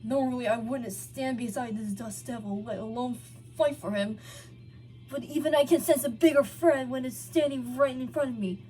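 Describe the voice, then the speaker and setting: A woman's voice: sits high, normal speed, warm tone, recorded from a distance, soft background music, lightly acted, poor audio. A woman voicing a battle-scarred male pangolin warrior, defiant and taunting, in a story reading.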